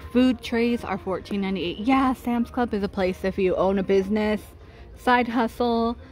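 A woman's voice: medium pitch, normal speed, darkish tone, faint music behind. Chatty woman reading prices in a store. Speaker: shopping vlogger. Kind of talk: casual shop-with-me narration. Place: large warehouse store, indoors. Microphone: handheld phone camera, first-person view.

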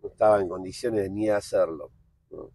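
A man in his forties with a Buenos Aires accent, a guest talking candidly outdoors in a park, blunt and slangy, often halting and restarting. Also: low-pitched, fairly even intonation, mellow, dry recording. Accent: Buenos Aires Spanish. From Argentina. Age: 40s. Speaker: guest, TV producer. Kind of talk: candid casual interview.

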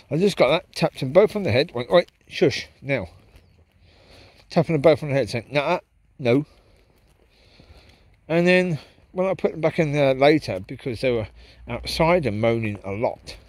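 A man talking casually to camera as he walks his dogs through a park at night, reflective and rambling. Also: on the high side. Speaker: vlogger walking his dogs. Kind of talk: casual walk-and-talk monologue. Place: park path at night, outdoors. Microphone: handheld camera while walking.

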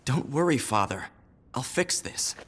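A man's voice: medium pitch, lively intonation, a little slow, very noisy, natural voice. A man playing an arrogant, spoiled young gang heir in a video game cutscene, over background sound. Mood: eager, contrite. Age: young adult.

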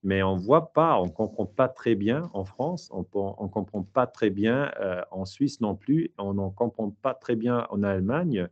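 A man's voice: on the low side, expressive, unhurried, hardly any pauses, muffled recording, faint music behind. A middle-aged man with a Swiss German accent, a historian answering interview questions in a hesitant way, with many fillers and restarts, speaking from a home study.

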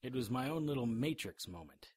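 An actor playing a wry, resolute hero in a radio drama, with a casual manner. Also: male voice, medium pitch, lively intonation, brisk, darkish tone, slightly rough.

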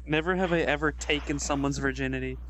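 A man's voice: a little high, steady tone, fast, noisy background. A man hosting a casual livestream in a social game, talking with friends and reading aloud. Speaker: streamer and host.

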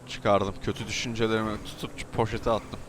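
A man chatting casually and joking while roleplaying in an online game, with animated banter. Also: on the low side, normal speed, a little hiss or hum. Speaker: gaming video streamer.

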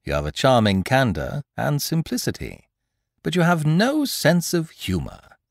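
A man voicing a teasing, boastful gentleman thief in an audiobook, mocking and theatrical, full of condescending rhetorical questions. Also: mid-range voice, huge pitch swings, unhurried, creaky, dry recording, studio quality, voice pushed up, full character voice. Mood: jubilant mocking. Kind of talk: dramatised audiobook character dialogue.

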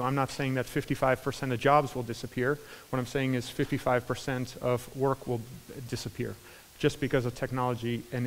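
A man's voice: medium pitch, a little hiss or hum. Man with an American accent, echoey hall. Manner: energetic, many fillers and restarts. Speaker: conference speaker. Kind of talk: keynote talk. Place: large conference hall stage. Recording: hall reverb from a large room.

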